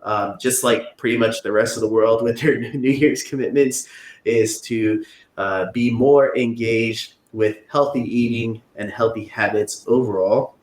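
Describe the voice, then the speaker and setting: A man's voice: mid-range voice, fairly even intonation, unhurried. A man co-hosting a casual podcast, talking in an easygoing, earnest way. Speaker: podcast co-host. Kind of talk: casual chat. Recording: echoey room.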